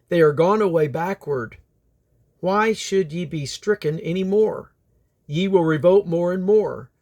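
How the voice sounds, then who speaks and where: A man reading the Bible aloud in a solemn, deliberate, phrase-by-phrase delivery. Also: a little high, a little mellow. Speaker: scripture reader.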